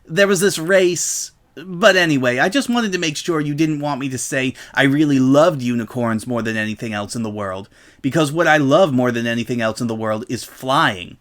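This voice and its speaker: A man's voice: medium pitch, normal speed, fairly bright tone, natural voice. A man voicing a brash female pegasus pony who rambles on with feigned cluelessness. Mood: feigned innocence.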